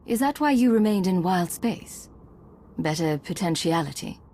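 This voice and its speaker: A shrewd female agent with a lowish, husky voice. She is composed and dry, speaking with clipped control as a commander in a video game. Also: expressive, moderate pace, warm tone. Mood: dry, guarded. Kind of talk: scripted video game acting.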